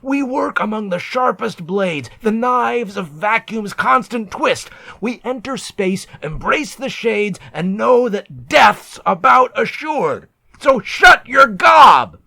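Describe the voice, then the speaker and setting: A man's voice: high-pitched, very animated, slow, creaky, sharp tone, no room sound, voice pushed up, heavily acted. A man voicing brutal spacer crewmates in a narrative poem, harsh, scornful and declaiming as they bully a shipmate. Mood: scornful, threatening.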